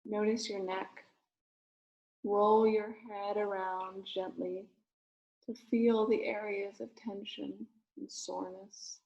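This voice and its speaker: Woman, very slow pace, mid-range pitch, guided meditation, soothing and gentle, short phrases with long pauses. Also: little pitch movement, dull audio. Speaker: rabbi leading a guided meditation.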